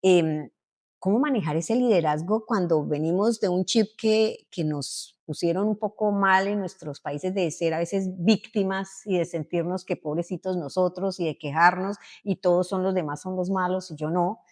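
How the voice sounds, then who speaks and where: A woman with a fairly low voice conducts the interview, asking long reflective questions and nodding along with quick affirmations. She sounds engaged and thoughtful as she leads the talk. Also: darkish tone.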